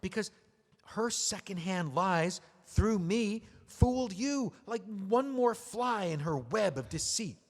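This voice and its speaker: An actor playing a betrayed widower in an audio drama, mocking and seething with anger. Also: male voice, high voice, expressive, takes it slowly, full character voice. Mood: angry. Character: bitter betrayed widower, man. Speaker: widower writing a memoir. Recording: long hall reverb.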